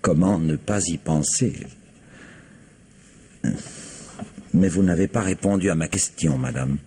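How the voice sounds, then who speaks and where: An actor playing a cautious, formal notary who talks with measured, hesitant pauses in an old French radio drama. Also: male voice, deep voice, dark tone, a little hiss or hum, natural voice. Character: cautious, formal middle-aged male notary.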